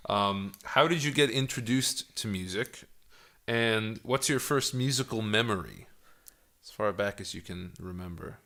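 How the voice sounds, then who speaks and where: A man's voice: on the low side, a little slow, a bit bright. A man with an American accent hosting a podcast and casually interviewing a guest.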